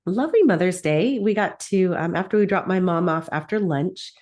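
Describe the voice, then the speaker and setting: A woman's voice: lowish voice, expressive, moderate pace, a little mellow. A middle-aged woman chatting casually as a podcast co-host, enthusiastic and gushing, a little sniffly with a cold. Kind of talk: casual chat.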